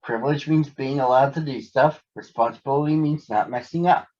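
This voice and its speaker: A man's voice: medium pitch, fairly quick, darkish tone, close to an everyday voice. A man voicing an eager little girl bear cub in a storybook, earnest and insistent. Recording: dull lo-fi sound.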